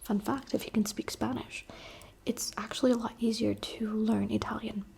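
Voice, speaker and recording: A woman's voice: a little high, steady tone, normal speed, gravelly, a little mellow, slight background noise. Whispering young woman, chatty ASMR. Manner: unvoiced whisper, chatty and candid. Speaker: ASMR creator.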